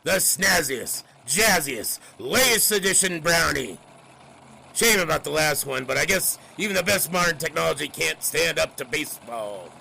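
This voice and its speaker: An actor playing a brash, gadget-loving newspaper editor-in-chief with emphatic sales patter, in an old-time audio drama. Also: male voice, on the high side, lively intonation, moderate pace, creaky, bright, forceful tone, a little hiss or hum, heavily acted, rough recording. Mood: excited, boastful.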